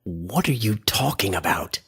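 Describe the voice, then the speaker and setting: An actor playing a skeptical friend who narrates a radio horror tale, with a gravelly, husky voice and a dry, measured manner. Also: male voice, fairly low pitch, lively intonation, fairly bright tone, full character voice. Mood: incredulous. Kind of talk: radio drama narration and dialogue.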